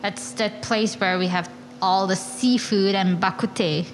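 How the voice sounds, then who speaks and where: A woman's voice: mid-range voice, steady tone, measured pace, big-room sound, low-quality recording. Malaysian woman guest, faint background noise. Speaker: guest, coffee shop co-founder. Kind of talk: casual interview.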